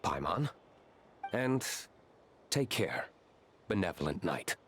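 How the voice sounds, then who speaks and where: Low-voiced, reserved young nobleman. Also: big pitch swings, slow pace, fairly bright tone, slight background noise, heavily acted. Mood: curt. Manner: formal, restrained, terse. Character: reserved, serious young nobleman, cool and courteous. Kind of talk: video game voice acting.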